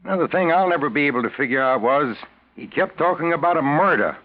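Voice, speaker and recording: An actor playing a tough, matter-of-fact private detective with blunt, slangy delivery in a 1940s radio drama. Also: male voice, a little high, animated, quick pace, lightly acted. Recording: thin phone-like 1940s radio sound.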